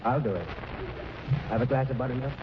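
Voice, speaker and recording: An actor playing a genial, glib politician with avuncular, folksy speechifying in 1940s radio comedy. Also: male voice, medium pitch, fairly quick, natural voice. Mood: genial, placating. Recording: very noisy, dull old radio broadcast.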